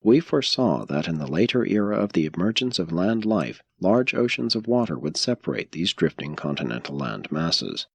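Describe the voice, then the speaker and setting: A man reading scripted audiobook narration in a measured, solemn and formal manner. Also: deep voice, sing-song, creaky, breathy edge, no echo.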